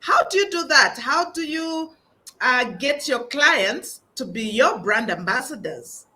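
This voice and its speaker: A woman hosting an interview podcast, speaking hesitantly with many pauses and filler sounds. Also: high-pitched, lively intonation, pushed and bright. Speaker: podcast host.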